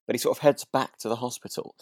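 A man with an English accent, from near Birmingham, co-hosting a relaxed podcast chat and often rephrasing mid-sentence. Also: medium pitch, fast talker, no echo. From near Birmingham, England. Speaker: podcast co-host.

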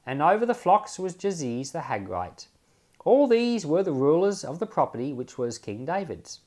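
A man, a pastor, reading scripture aloud and commenting on it informally, with measured, deliberate phrasing. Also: on the high side, sing-song, darkish tone. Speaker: pastor and Bible podcast host.

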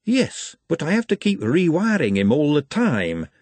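A man's voice: a little high, huge pitch swings, normal speed, husky, no room sound, pitched above the performer's own voice, exaggerated voice. A man voicing a put-upon, grumbling wizard doctor who sounds aggrieved, in a fantasy audiobook. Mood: weary. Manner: aggrieved, complaining.

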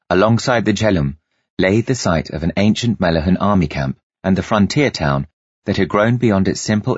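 Male storyteller for dramatic fiction narration; low, slightly rough voice, measured and expressive. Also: no room sound, highs cut off. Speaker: audiobook narrator.

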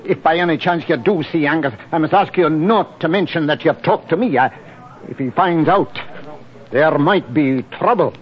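An actor playing a nervous, evasive gentleman with a courteous, stammering manner in a 1940s radio drama. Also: a little high, normal speed, close to an everyday voice. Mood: anxious, cautioning. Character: nervous, evasive, polite gentleman, a fearful cousin. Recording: dull 1940s radio broadcast.

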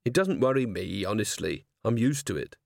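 A man voicing a wry, world-weary adult werewolf woman with a dry, brisk, guarded manner in a storybook reading. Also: lowish voice, expressive, vocal fry, darkish tone, no room sound.

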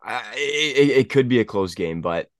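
A young man with a stuffy head cold, hosting a casual sports podcast and talking in halting bursts with many restarts. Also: mid-range voice, animated, fairly bright tone, no echo. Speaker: podcast host.

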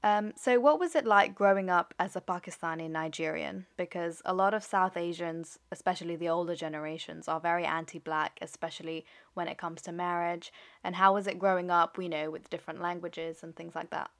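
A woman's voice: on the low side, steady tone, fairly quick, hardly any pauses, fairly bright tone. A woman hosting a podcast, asking casual interview questions and affirming her guest with easy filler words. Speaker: podcast host.

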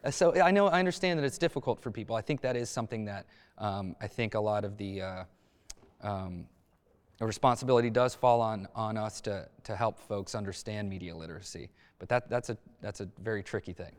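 A man speaking as a journalist on a discussion panel, thoughtful and halting as he searches for words. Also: on the low side, clear, resonant tone. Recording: echoey hall-like reverb.

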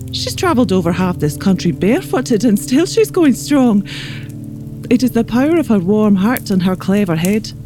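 An actress with an Irish accent playing a shrewd, hospitable northern wise woman in a children's audio drama, speaking with deliberate gravity. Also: medium pitch, close to an everyday voice.

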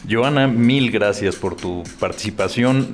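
A man's voice: on the low side, a little slow, over loud music. A man hosting an interview show, friendly and echoing his guest's words. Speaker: host.

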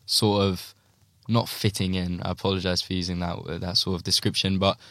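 A man hosting a podcast, asking questions in a halting way with many ums and trailing phrases. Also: sits low, little pitch movement, fairly bright tone, no echo. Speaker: podcast host. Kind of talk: casual interview questions.

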